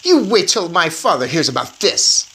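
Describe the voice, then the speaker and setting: A woman's voice: fairly low pitch, big pitch swings, moderate pace, a little hiss or hum. A woman voicing a greedy, indignant young boy turned mouse in a read-aloud storybook, blustering and protesting. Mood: outraged.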